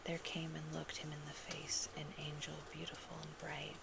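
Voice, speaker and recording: An ASMR performer reads love poetry in a soft, intimate, unhurried whisper that is breathy with creaky edges. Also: mid-range voice, monotone, very noisy. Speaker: ASMR performer reading love poems in a roleplay.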